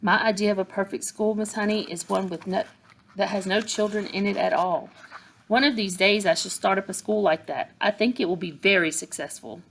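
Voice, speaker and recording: A woman voicing a huge, cruel headmistress who barks scornful insults, with a gravelly edge. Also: mid-range voice, brisk, fairly bright tone, rough recording. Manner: barking, scornful tirades. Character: huge bullying female headmistress, cruel and bellowing.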